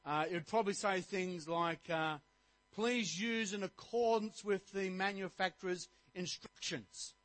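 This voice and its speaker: Middle-aged man, pastor, animated sermon delivery, fairly high voice, dry close sound. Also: measured pace, dry recording. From Australia. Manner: animated preaching, rising to emphatic points.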